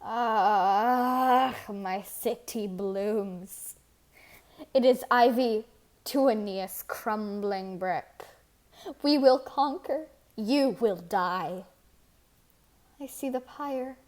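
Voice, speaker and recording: A woman's voice: fairly high pitch, extremely slow, a little mellow. A woman playing a proud, scorned queen of antiquity in a declamatory, theatrical reading of verse drama. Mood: feverish resolve.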